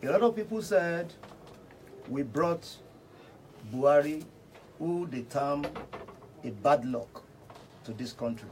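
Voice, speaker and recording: A Nigerian man speaking as a panel guest in a radio political debate, politely and deliberately. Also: on the high side, animated, darkish tone, low-quality recording. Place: radio studio. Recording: faint background noise.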